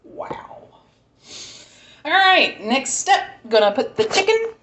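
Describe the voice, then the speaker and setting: A woman's voice: high voice, sing-song, slow pace, a bit bright, reverberant, a little hiss or hum. A woman with a Southern American accent, chatting casually and thinking aloud as she cooks in her home kitchen. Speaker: home cook. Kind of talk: casual cooking vlog chat.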